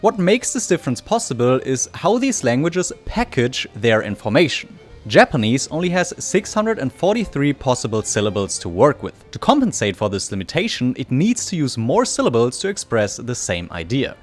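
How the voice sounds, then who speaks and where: Male native German speaker, scripted narration, very varied intonation, medium pitch, light music behind. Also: sing-song, moderate pace, hardly any pauses. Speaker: video essay presenter. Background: soft background music.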